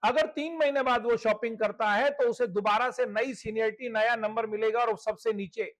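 A man's voice: very high voice, steady tone, normal speed, a bit bright. A man with an Indian accent giving a promotional slide presentation, explaining step by step and dropping in English terms. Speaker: presenter of a membership scheme.

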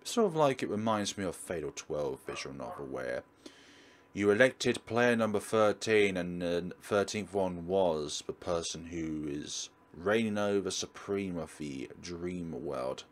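Low-pitched, lively male let's-player. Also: measured pace, a little hiss or hum. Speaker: gaming YouTuber. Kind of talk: let's play commentary and guide. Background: game music.

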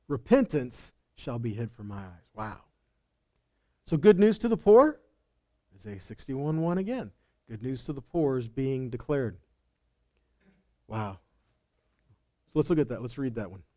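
A man's voice: fairly high pitch, mellow. A middle-aged man preaching a teaching sermon, emphatic, with scripture readings and rhetorical questions. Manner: emphatic, asks rhetorical questions and answers them. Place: indoor stage of a congregation hall. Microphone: headset.

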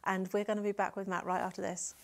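A woman's voice: mid-range voice, flat delivery, quick pace, gravelly. Middle-aged woman hosting a faith show. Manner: warm, affirming, asks tag questions. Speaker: TV show host. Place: TV studio set.

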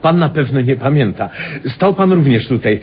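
A man's voice: mid-range voice, expressive, moderate pace, close to an everyday voice. An actor in a radio play as a polite, inquisitive man, courteous and deferential while he probes. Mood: apologetic, curious. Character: polite, inquisitive male stranger, amateur student of people. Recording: muffled old radio recording, faint hiss.

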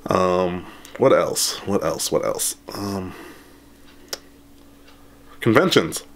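A man in his thirties, slightly hoarse after being sick, chatting casually and rambling with lots of ums and laughs, holding a camera at arm's length in a small room. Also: low voice, expressive, very slow. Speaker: channel host. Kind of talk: casual update vlog. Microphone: handheld camera at arm's length.

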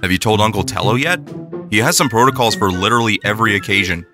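A man voicing an anxious, well-meaning, excitable teenage boy in an animated comic dub. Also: lowish voice, fast, close to an everyday voice. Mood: surprised, curious. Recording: music behind the voice.

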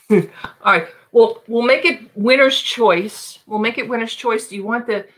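A woman's voice: mid-range voice, a bit bright. Chatty New York woman auctioning records. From Long Island, New York. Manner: chatty, thinking aloud while reading album titles. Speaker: live auction host. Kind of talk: casual live-stream selling records. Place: small home room full of shelves.

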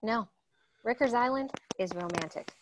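A woman's voice: on the high side, moderate pace, slight background noise, lightly acted, rough recording. A woman at a comedy table read playing a gushing, stubborn bride-to-be. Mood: stubborn, sweet.

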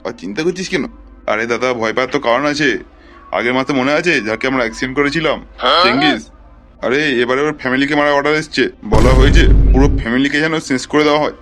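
A man voicing a nervy small-time hired thug in a cartoon, colloquial and excitable, with music behind. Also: mid-range voice, fast talker, natural voice. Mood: boastful, then anxious. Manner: colloquial, excitable, stammers when frightened.

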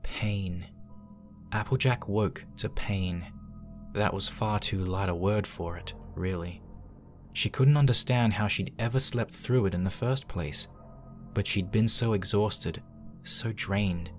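A man with a low voice narrates a horror story. He reads it as scripted dramatic fiction, with music playing underneath. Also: slow, fairly bright tone.

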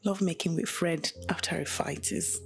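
A woman narrating in first person as a troubled wife, soft and often whispering. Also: lowish voice, moderate pace, gravelly, soft background music. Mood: rueful. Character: remorseful young wife telling her story.

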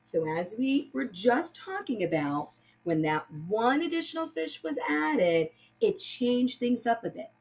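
A woman speaks as a primary school teacher to young children. Her voice sits a little high and moves up and down in a lively way. She goes very slowly and patiently, laying out each step simply as in a read-aloud lesson.

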